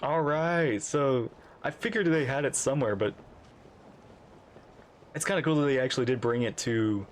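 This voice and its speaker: A woman streamer giving casual live reaction commentary on a game, with excitable, playful asides. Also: very deep voice, moderate pace, pauses often, slight background noise.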